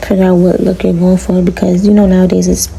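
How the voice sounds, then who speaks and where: Raspy young woman vlogging a hair tutorial, low mellow voice, gravelly, faint hiss behind. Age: young adult. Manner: relaxed, chatty, drawn-out slang. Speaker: beauty vlogger. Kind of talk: casual hair tutorial talk to viewers. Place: small room before a green screen.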